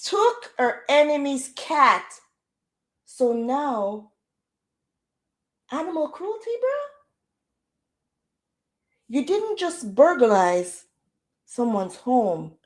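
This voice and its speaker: A Jamaican woman hosting a casual livestream chat in a loud, projecting voice, firing off rhetorical questions with easygoing slang. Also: sits high, big pitch swings, drawn-out words, pauses often. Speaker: livestream host.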